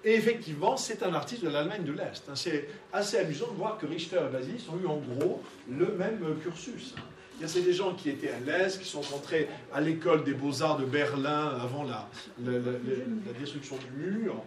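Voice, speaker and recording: A man's voice: on the high side, fairly quick, few pauses. A man giving an interactive art lecture, conversational and peppered with tag questions. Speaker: art lecturer leading a discussion. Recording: echoey room, distant mic, audience murmur.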